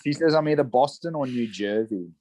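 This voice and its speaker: A man's voice: medium pitch, animated, a little fast, dark tone, slight background noise. A young Australian man chatting casually on a podcast, telling rambling stories full of restarts and fillers. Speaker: guest horseman.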